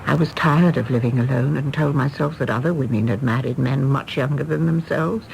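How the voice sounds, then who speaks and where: An actress playing a weary, self-reproachful middle-aged widow with an English Received Pronunciation accent in a radio drama. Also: female voice, a bass voice, fairly quick, creaky, a little mellow, slight background noise, exaggerated voice, low-quality recording. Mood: regretful, weary. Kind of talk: British radio drama acting.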